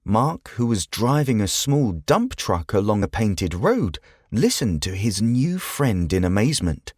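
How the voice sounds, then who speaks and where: Slow, expressive man narrating a children's story. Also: fairly low pitch, no echo, clean studio sound. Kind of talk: scripted children's audiobook narration.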